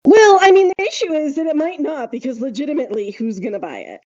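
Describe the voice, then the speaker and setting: A woman's voice: high voice, sing-song, fast talker, dark tone, no echo, highs cut off. A woman chatting casually as a podcast co-host, wry, opinionated and emphatic in how she makes her points. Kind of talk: casual speculative podcast chat.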